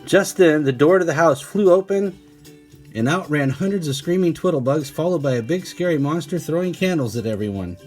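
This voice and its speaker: Animated man reading a children's storybook. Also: fairly high pitch, fairly quick, faint music behind. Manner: expressive, addressing young listeners. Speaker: teacher reading a storybook aloud.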